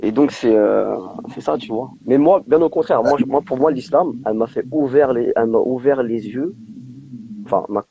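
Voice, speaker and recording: A man chats informally at a middle pitch. He tells things in an animated, slangy way. The sound is dull and lo-fi, like a live stream. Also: normal speed, vocal fry. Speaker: live discussion participant. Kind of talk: casual chat.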